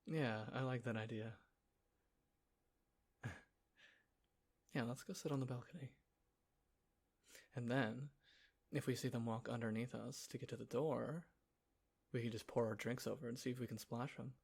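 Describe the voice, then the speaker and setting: A man acting an easygoing, playful young guy in a casual roleplay audio, talking in a halting, chuckling, naturalistic way with a friend. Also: mid-range voice, fairly even intonation, gravelly, slightly rough. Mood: soft, fond. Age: young adult.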